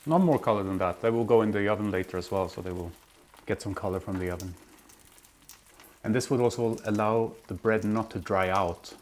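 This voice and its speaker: A man from Belgium hosting his own cooking show, walking through a recipe in a relaxed, step-by-step way in a home kitchen. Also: on the low side, brisk, a little hiss or hum. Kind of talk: casual cooking demonstration.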